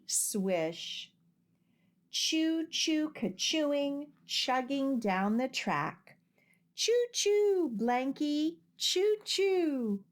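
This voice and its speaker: A woman reading a children's picture book aloud with expressive, deliberate and rhythmic phrasing. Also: sits high. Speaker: children's storytime reader.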